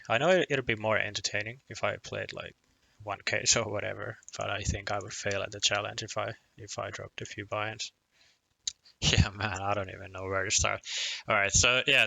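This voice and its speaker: A man's voice: on the low side, creaky, bright, forceful tone, low-quality recording. A young man from Finland with a Finnish accent and Finnish as his first language, chatting casually on a poker live stream, rambling and self-deprecating with lots of restarts and chuckles.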